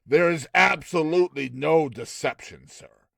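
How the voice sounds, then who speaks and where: A man's voice: on the high side, measured pace, creaky, a bit bright, no echo, studio quality, pitched above the performer's own voice, full character voice. Man acting an uncanny, courteous magic shopkeeper. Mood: emphatic, affable. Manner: courteous, emphatic, theatrical delivery. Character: affable, uncanny shopkeeper conjurer, courteous salesman.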